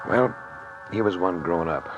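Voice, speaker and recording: A man's voice: low voice, measured pace, dull audio. A man playing a cool, tough insurance investigator in a 1950s radio detective drama, dry, terse and hard-boiled. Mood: wry, narrating. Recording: old radio recording with clear noise.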